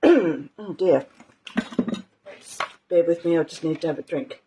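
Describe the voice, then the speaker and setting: A woman teaching a live art journaling session in a casual, rambling way, with many ums and a slightly croaky voice. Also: fairly low pitch, slow, mellow, highs cut off. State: croaky, clearing her throat. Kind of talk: casual live craft demonstration.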